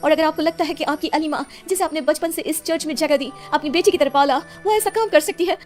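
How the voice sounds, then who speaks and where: A woman's voice: high-pitched, fast talker, darkish tone, soft background music, lightly acted. A woman voicing an innocent, devoted young nun in a cartoon drama, earnest and submissive as she pleads. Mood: pleading. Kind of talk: Hindi cartoon drama voice acting.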